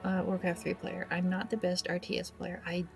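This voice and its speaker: A woman's voice: fairly low pitch, monotone, brisk, vocal fry, faint music behind. A woman hosting a gaming video with casual, awkward, self-deprecating commentary, speaking hesitantly. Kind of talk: casual let's play commentary.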